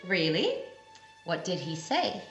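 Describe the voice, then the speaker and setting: A woman's voice: lowish voice, very animated, slow pace, muffled recording. A young woman playing a sweet, naive servant girl, earnest and innocent, in a comic pantomime. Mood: hopeful. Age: young adult. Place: indoor room with brick wall, video call. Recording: roomy video call, music behind.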